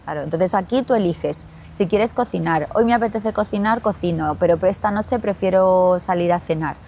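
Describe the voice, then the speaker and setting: A woman with an Andalusian Spanish accent, a company chief answering interview questions in a measured way, pausing and repeating as she thinks. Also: lowish voice, mellow, slight background noise. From Spain. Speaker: glamping company CEO, guest.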